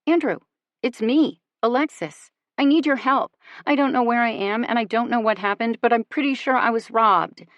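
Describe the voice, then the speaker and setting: A frantic, hot-tempered young woman, as the narrator plays her, pouring out words breathlessly down a payphone line. Her voice turns shrill and raised, with a dry, close sound. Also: normal speed, no room sound, highs cut off, close to an everyday voice. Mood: panicked.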